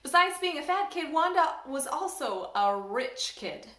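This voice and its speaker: A woman from a church staff reading a children's storybook aloud in an animated, expressive way. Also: high voice, a little slow. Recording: roomy echo.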